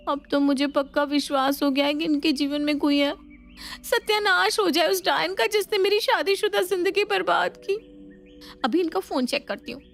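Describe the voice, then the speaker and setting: A woman playing a feisty, suspicious young Indian bride in a family story, dramatic and plaintive. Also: high voice.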